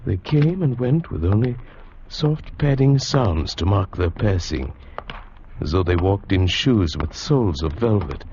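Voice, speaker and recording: A man's voice: low-pitched, lively intonation, a little slow, warm tone, slight background noise. An actor playing a haunted man who tells of his uncanny journey in a brooding, intense, dramatic radio style. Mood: dreamy, uneasy. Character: haunted male traveler recounting an uncanny experience. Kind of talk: 1940s-50s radio drama narration. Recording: dull vintage radio recording with music.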